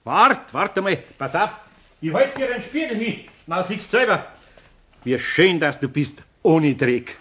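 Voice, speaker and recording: A man playing a good-natured older craftsman in a children's radio play, with a husky voice, a Bavarian accent and a fussing, coaxing, easily flustered manner. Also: high voice, big pitch swings, a little slow, sharp tone, exaggerated voice. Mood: relieved, kindly. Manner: flustered, coaxing, repeating little phrases.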